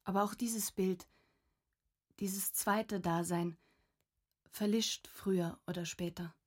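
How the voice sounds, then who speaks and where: Contemplative young diarist, medium-pitched. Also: female voice, fairly even intonation, takes it slowly, a little mellow. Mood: quietly contemplative. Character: reflective, gentle young woman reading from her diary. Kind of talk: scripted literary radio reading.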